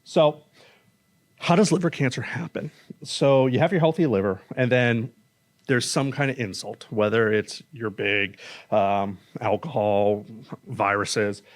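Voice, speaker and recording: Loud casual man giving a slide talk. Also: medium pitch. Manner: casual, plain-spoken, says he has a loud voice. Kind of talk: public health talk with slides. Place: conference room.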